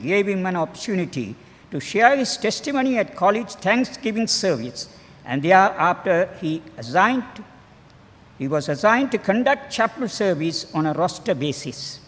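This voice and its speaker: High-voiced older Sri Lankan man, echoing hall. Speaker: clergyman reading a tribute. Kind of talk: formal read-aloud biographical tribute. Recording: long hall reverb.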